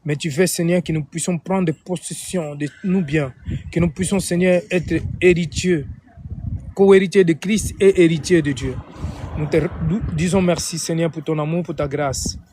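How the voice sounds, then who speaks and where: A man's voice: fairly high pitch, fairly even intonation, normal speed, mellow, a little hiss or hum. A man from Angola with an Angolan Portuguese accent, a native Portuguese speaker, preaching and praying informally on a live stream, often repeating and rephrasing his phrases.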